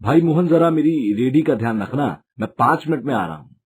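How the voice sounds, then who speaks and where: A man voicing an honest, wronged street-food seller in a moral story, speaking earnestly with a husky voice. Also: medium pitch, expressive, brisk. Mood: friendly. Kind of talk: dramatised moral story acting.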